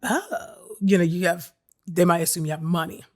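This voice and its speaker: A woman's voice: on the low side, moderate pace, darkish tone. Casual, candid American woman chatting. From California, United States. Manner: informal, talks in loose asides. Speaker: podcast co-host.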